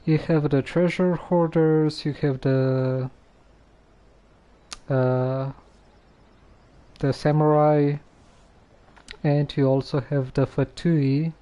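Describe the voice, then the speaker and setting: A man casually live streaming a game, reading dialogue aloud and commenting in a halting, musing way. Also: fairly high pitch, steady tone, warm tone, clean tone, lo-fi sound. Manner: halting, musing, repeats phrases.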